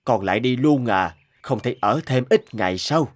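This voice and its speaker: An actor playing a meek, lonely old father in an animated story, speaking hesitantly with stammers. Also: mid-range voice, moderate pace, lightly acted. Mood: resigned. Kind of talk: animated moral story dubbing.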